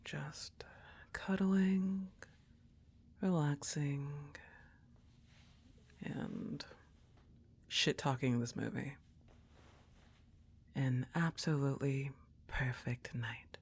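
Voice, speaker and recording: A woman playing a laid-back, teasing young goth roommate in an affectionate ASMR roleplay, soft-spoken and often slipping into whispers. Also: a bass voice, extremely slow, pauses often, slightly rough, exaggerated voice. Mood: soothing, intimate.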